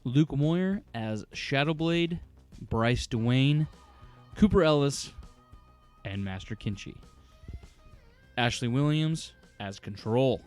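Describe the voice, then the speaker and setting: A man's voice: mid-range voice, lively intonation, takes it slowly, pauses often, a little mellow. A man announcing scripted audio drama credits. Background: plucked guitar music.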